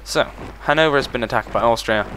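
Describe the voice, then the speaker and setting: A man's voice: medium pitch, quick pace, a bit bright, slight background noise, low-quality recording. Man thinking aloud over a strategy game. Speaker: gaming channel host. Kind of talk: let's play commentary.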